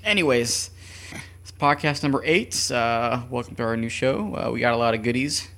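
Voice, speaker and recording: A man's voice: mid-range voice, moderate pace, fairly bright tone. A man hosting a casual radio-style podcast, joking crudely and rambling loosely between songs. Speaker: podcast host.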